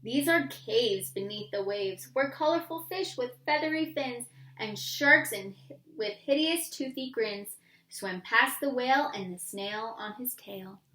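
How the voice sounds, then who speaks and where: A woman reading a picture book aloud to young children in an expressive, sing-song way, pausing to put simple questions to her listeners. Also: a little high, reverberant, low-quality recording.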